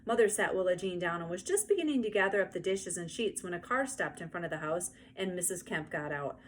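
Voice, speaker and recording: A woman's voice: fairly low pitch, expressive, fairly quick. Woman reading a children's story, faint noise. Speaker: read-aloud narrator. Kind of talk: expressive children's storybook narration.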